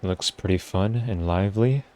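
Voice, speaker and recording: A male streamer chats casually over his game. His voice is very low, dark and mellow, and his delivery stays low-key. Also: normal speed, soft background music. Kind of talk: casual live gameplay commentary.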